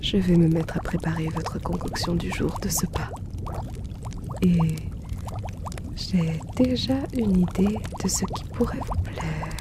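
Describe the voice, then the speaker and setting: Casting: woman as courteous fantasy potion seller, lowish voice, very unhurried, gently teasing roleplay delivery, noisy bubbling backdrop. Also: natural voice. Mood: attentive, welcoming. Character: courteous female potion shopkeeper in a fantasy world. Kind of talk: French roleplay audio, gentle scripted in-character address.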